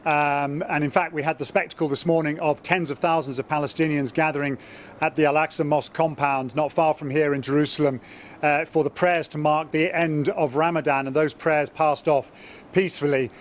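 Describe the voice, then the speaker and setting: A man, a diplomatic correspondent, giving a live news report in a conversational, measured way with some fillers. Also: fairly high pitch, steady tone. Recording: faint background noise.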